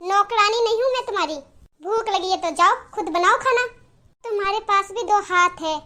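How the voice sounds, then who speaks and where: Woman acting a snappy, high-pitched selfish young daughter-in-law. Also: normal speed, pushed and bright. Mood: defiant, snappy. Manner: snappy, scornful retorts. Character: selfish, sharp-tongued young daughter-in-law who sulks and snaps.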